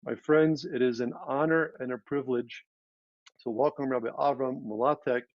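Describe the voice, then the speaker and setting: A man's voice: mid-range voice, steady tone, moderate pace, no echo. A man hosts an event over a video call, giving a prepared, formal introduction. The sound is very dry, with little room around the voice, and slightly muffled and lo-fi. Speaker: event host introducing a guest speaker.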